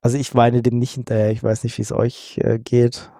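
A man's voice: mid-range voice, little pitch movement, normal speed, mellow, no room sound, studio quality. A man co-hosting a casual tech podcast, rambling with many fillers and restarts. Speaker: podcast co-host. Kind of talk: casual tech news chat.

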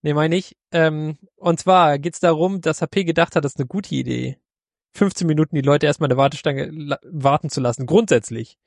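A man's voice: a little high, a little fast, gravelly, no echo, clean studio sound. A man co-hosting a podcast, chatting casually about tech news in a laid-back, joking way. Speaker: podcast co-host.

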